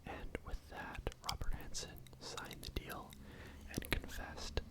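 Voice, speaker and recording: Man whispering a true crime story. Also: slow pace. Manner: unvoiced whisper throughout, casual with restarts. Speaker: ASMR true crime host.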